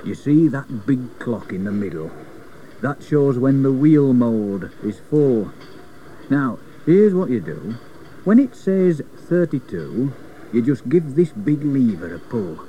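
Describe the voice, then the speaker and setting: A man's voice: medium pitch, big pitch swings, takes it slowly, dark tone, a little hiss or hum, poor audio. A man voicing a matey, chatty older works engineer who gives folksy instructions in a rhyming storybook. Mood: instructing. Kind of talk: children's rhyming storybook reading.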